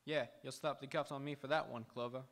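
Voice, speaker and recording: A man's voice: mid-range voice, fairly even intonation, quick pace, fairly bright tone, lightly acted. A man playing a hot-headed, protective young man in an old-time radio crime drama, agitated and emphatic. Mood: bitter. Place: auditorium stage, live radio theatre.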